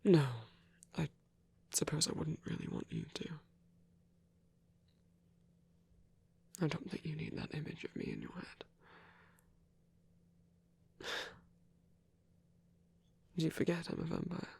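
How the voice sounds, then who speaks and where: A man playing a gentle vampire priest in an intimate ASMR roleplay, soft and breathy, speaking in hesitant, measured, genteel phrases. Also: on the high side, flat delivery, heavily acted. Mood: tender, confessional. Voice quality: breathy, whispery. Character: gentle, soft-spoken vampire priest, male, pacifist, tender.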